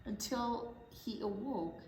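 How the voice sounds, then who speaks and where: A woman reading a picture book aloud to young children as a storytime teacher, expressively, with deliberate pauses and little asides. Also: fairly high pitch, darkish tone. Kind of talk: animated picture book read-aloud for preschoolers. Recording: roomy echo, faint background noise.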